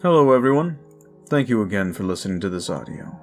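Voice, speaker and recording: A man's voice: on the low side, sing-song, fast talker, faint music behind. A man who makes audio roleplays, talking in a casual, upbeat and thankful way in an outro to his listeners.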